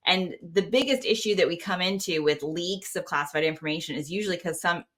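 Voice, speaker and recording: A woman with an American accent explaining things informally as an interview guest, in an animated, colloquial way. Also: lowish voice, a little fast. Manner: animated, colloquial, quotes imagined speakers. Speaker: interview guest, security clearance expert.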